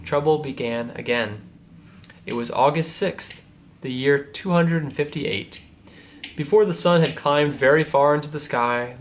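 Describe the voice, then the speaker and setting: Male priest as children's storyteller: fairly high voice, measured reading with informal asides, slightly noisy recording. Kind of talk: storybook read-aloud for children.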